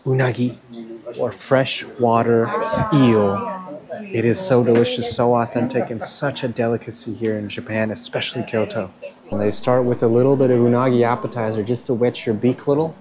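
Food vlogger, male, 30s, mid-pitched, eager and chatty, crowded dining room noise. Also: steady tone, normal speed, crowd noise, rough recording. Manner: enthusiastic, keeps saying "guys", savoring with hums. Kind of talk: casual food vlog narration. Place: restaurants in Kyoto, indoors and riverside outdoors. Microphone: handheld camera at arm's length.

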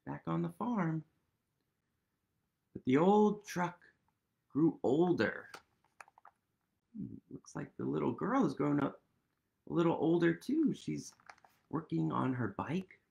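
A man in his thirties reading a picture book aloud to young children, gently and expressively, with pauses and questions. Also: on the high side, sing-song, vocal fry. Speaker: storytime reader.

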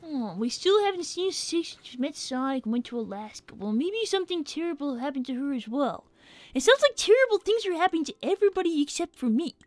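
A woman's voice: high voice, sing-song, a little fast, dry recording. A woman voicing a young, brainy cartoon fox boy who chats in a sassy, self-assured way as a gaming livestream host. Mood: chatty, wry. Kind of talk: in-character gaming livestream chat. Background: game music.

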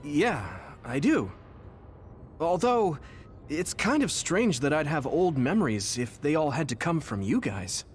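Earnest young male hero, lively pitch. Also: measured pace. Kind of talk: anime game voice acting. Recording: dramatic music behind voice.

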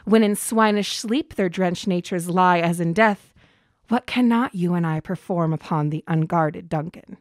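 A woman's voice: on the low side, unhurried, dry recording, studio quality, natural voice. An actress playing a ruthless, ambitious noblewoman, goading and commanding in Shakespearean verse for a radio drama. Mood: scheming. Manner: scornful, commanding verse delivery. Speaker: actor.

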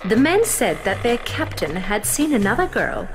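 A woman's voice: a little high, very animated, moderate pace, music in the background, poor audio. A woman narrator reading a scripted children's storybook tale.

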